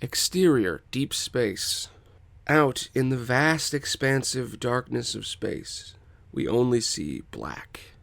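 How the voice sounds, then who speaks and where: A man's voice: medium pitch, animated, slow, vocal fry, fairly bright tone, slight background noise. A man narrating a screenplay as podcast host, reading scene directions in a dramatic scripted style. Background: music.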